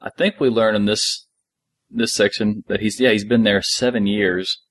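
Male podcast co-host, fairly low voice, casual chat, very dry sound. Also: steady tone, normal speed, fairly bright tone, no room sound. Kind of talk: casual discussion of an audio drama.

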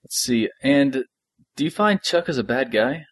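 A man's voice: mid-range voice, animated, moderate pace, no room sound. A man chatting casually as a podcast co-host, discussing an audio drama.

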